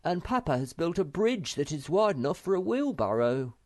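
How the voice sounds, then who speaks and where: A woman's voice: low-pitched, very animated, normal speed, no echo, studio quality, voice pushed up. A woman voicing an earnest young male troll creature who describes things in a dreamy, enthusiastic way.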